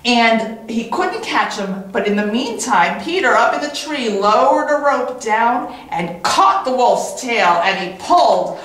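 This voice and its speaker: A woman reading a children's story as a teacher, in an expressive, animated way with dramatic pauses and repetition. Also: on the high side. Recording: very echoey room.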